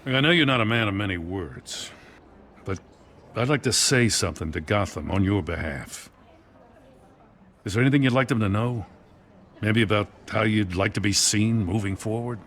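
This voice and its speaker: An actor playing an earnest, dutiful middle-aged police commissioner in a video game, plain-spoken and sincere. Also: male voice, on the low side, normal speed, pauses often, fairly bright tone. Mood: earnest, reflective. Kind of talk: video game dramatic acting. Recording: faint background ambience noise.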